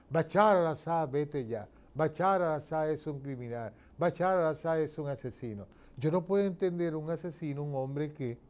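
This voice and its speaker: Higher-pitched Venezuelan man lecturing. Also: a little mellow. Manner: emphatic, didactic, rhetorical questions and check-ins. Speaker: political commentator giving a lecture. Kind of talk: informal explanatory lecture on camera.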